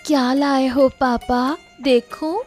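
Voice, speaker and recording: A woman's voice: sits high, steady tone, slow, a little mellow, faint music behind. A woman playing a sweet, grateful little village daughter in a cartoon moral story, childlike in manner. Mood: delighted, grateful.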